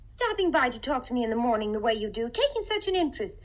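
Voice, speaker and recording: A naive, eager-to-please young office girl in an old radio comedy. She speaks in a fairly high voice, full of folksy flattery and earnest sweetness. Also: quick pace. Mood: eager, grateful. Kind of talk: 1950s radio comedy-drama acting.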